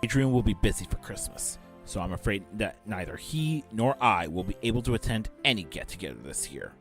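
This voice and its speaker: A man performs a cold, domineering fashion-mogul father for a fan audio drama. His voice is forceful and bright, with dramatic swings in pitch. He speaks in stern, clipped commands over a music bed. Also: lively intonation, fairly quick. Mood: cold, dismissive.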